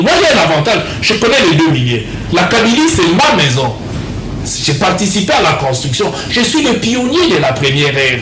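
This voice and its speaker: Man from congo, outspoken, emphatic, fairly high voice, echoey room, music behind. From Democratic Republic of the Congo. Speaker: political guest. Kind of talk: televised political interview.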